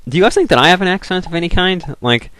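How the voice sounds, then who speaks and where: A man's voice: sits high, lively intonation, fast, a bit bright, clean tone, dry recording. A young man from the southern United States giving casual, rambling commentary over a video game, full of "like" and restarts. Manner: rambling, filler-heavy, restarts, frequent "like".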